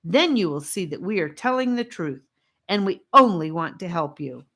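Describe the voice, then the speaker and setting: A woman voicing a defiant boy captive who shouts and demands answers. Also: extremely high pitch, big pitch swings, a little slow, voice pushed up, full character voice.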